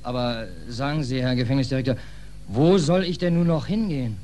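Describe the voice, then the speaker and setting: An actor playing a wry, hapless stateless drifter in a 1940s German radio play, deadpan and mock-polite. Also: male voice, mid-range voice, a little fast, close to an everyday voice. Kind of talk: 1940s German radio play acting and first-person narration. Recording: slightly noisy 1940s radio recording.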